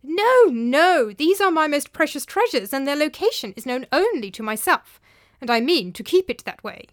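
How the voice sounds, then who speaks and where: A woman's voice: high voice, very animated, moderate pace, no room sound, studio quality, voice pushed up. A woman voicing a gullible, superstitious old master of a household, blustering and emphatic, in a dramatised story. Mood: stubborn.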